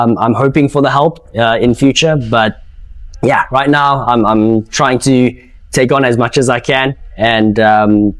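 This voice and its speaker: A man with a South African accent giving casual, unscripted interview answers in a hesitant, halting way, often repeating words. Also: medium pitch, clean tone. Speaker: interviewee, amateur speedsoft player and organiser.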